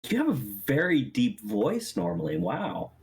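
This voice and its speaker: Husky bouncy voice on a call, teasing, tweaking mic settings. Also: mid-range voice, huge pitch swings, measured pace. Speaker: friend on a call helping with audio settings. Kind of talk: casual chat.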